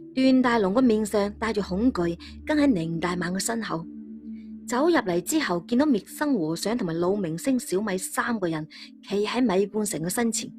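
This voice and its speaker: A woman's voice: medium pitch, a little mellow. A woman, narrating a story dramatically as a storyteller.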